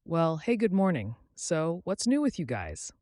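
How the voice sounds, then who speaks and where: A man's voice: a little high, huge pitch swings, a little slow, a little mellow, no echo, studio quality, voice pushed up. A man voicing a wry, evasive regular guy on the run in story dialogue, with a casual, half-joking manner. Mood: feigned casual.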